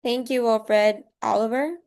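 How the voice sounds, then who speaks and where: A woman's voice: a little high, fairly even intonation, measured pace, darkish tone, clean tone. A woman coordinating an online group meeting, casually leading everyone through introductions over a video call. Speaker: program coordinator.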